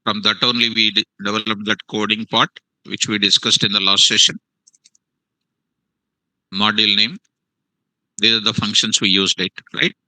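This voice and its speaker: Man, programming teacher, live class, repeats lines for dictation, tense bright voice, dry sound. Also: on the low side, level tone, dry recording, rough recording. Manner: repeats phrases slowly for dictation. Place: classroom, also streamed to online students. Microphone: online meeting.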